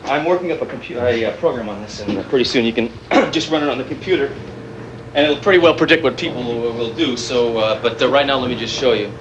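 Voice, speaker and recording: An actor playing a nervous but defiant technical expert turned terrorist in a TV drama, explaining in a halting, rambling way. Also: male voice, medium pitch, lo-fi sound, natural voice. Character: nervous but defiant male bomb-builder terrorist with technical know-how. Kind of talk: naturalistic TV drama acting. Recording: noisy 1980s TV live-feed audio.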